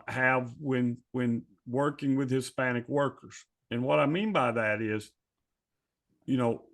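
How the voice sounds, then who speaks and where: A man hosts a conversational podcast discussion in a medium-pitched voice. There is plenty of creak in his tone. He phrases his points deliberately and repeats key words to stress them. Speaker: podcast host.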